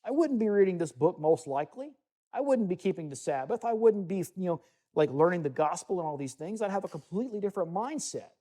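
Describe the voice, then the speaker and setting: A man teaches to a gathered group in a room, his voice fairly high for a man yet soft and mellow in tone. He reads passages aloud and talks to the room in an easygoing, conversational way, with asides and restarts along the way. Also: expressive, fast. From United States. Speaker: Bible teacher. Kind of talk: teaching sermon with scripture reading. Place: meeting room with listeners.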